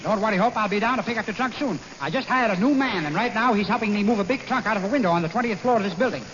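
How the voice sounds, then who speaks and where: Man playing a daffy mover, very high, sharp and lively, goofy bragging, dull old radio sound. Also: very fast, slight background noise. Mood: zany. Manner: wild laughter, goofy boasting. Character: zany male express mover, loud and daffy. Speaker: comic sidekick playing an express man. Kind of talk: 1940s radio comedy.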